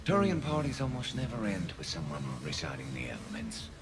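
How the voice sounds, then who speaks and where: Deep-voiced wry alien soldier. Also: male voice, wildly swooping pitch, fairly quick, vocal fry, music in the background, exaggerated voice. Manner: dry, wry. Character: male Turian alien soldier, wry, dry-witted. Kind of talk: video game voice acting.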